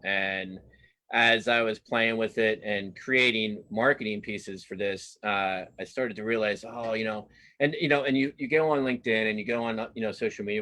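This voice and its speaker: Guy with a lowish voice, casual interview chat, kinda rambles and restarts his thoughts. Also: bright, forceful tone. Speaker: cartoonist guest. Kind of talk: casual interview chat about own work.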